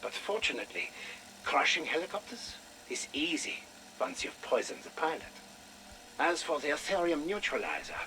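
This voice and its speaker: An actor playing a sinister German doctor with menacingly polite, theatrical delivery and a German accent in a video game audio log. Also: male voice, medium pitch, pushed and bright, heavily acted. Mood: gloating menace. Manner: theatrical, menacingly polite, savouring his words. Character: sinister German doctor and torturer, gloating Soviet operative. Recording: noisy radio-style audio log.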